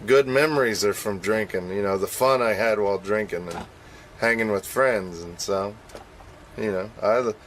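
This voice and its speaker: A man's voice: lowish voice, normal speed, slight background noise, low-quality recording. Rambling, chatty radio staffer man. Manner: rambling and chatty, with self-deprecating admissions. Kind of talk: casual on-air chat.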